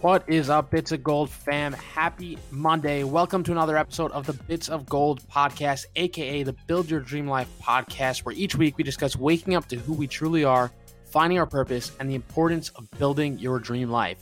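A man's voice: fairly high pitch, steady tone, normal speed, fairly bright tone, faint music behind. A man hosting a podcast, upbeat and enthusiastic as he introduces his guest. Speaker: podcast host.

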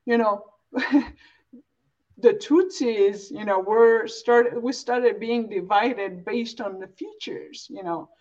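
A woman's voice: fairly high pitch, slow, a little mellow. Woman, dull lo-fi recording. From East Africa, born and raised in Uganda. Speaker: interview guest. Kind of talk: reflective, earnest interview talk. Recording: dull, lo-fi audio.

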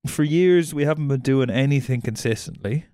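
A man's voice: mid-range voice, normal speed, dark tone, dry recording, clean studio sound. A man with an Irish accent bantering casually as a podcast co-host, teasing, joking and swearing freely. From Ireland.

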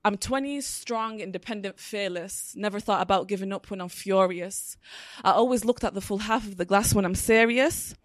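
A woman's voice: mid-range voice, moderate pace, dry recording. A woman in her twenties performing a spoken word poem with a rhythmic, emphatic delivery at a live panel. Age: 20s.

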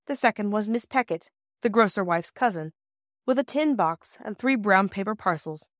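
Female audiobook narrator: measured, expressive storybook reading, mid-range pitch, very dry recording. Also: no room sound.

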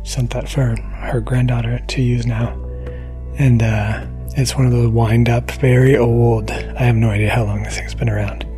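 A man's voice: mid-range voice, flat delivery, normal speed. A man giving a casual spoken introduction to a podcast episode as its producer. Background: soft gentle music.

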